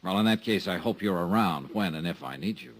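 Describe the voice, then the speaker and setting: An actor playing a wry, tough American insurance investigator in an old time radio drama, talking in casual, sardonic slang. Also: male voice, low voice, a little fast, vocal fry. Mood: casual, probing.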